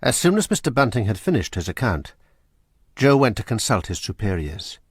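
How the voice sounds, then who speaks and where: A man narrating a radio drama in a brisk storytelling manner with a husky voice. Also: lowish voice, big pitch swings, dry recording, clean studio sound, exaggerated voice.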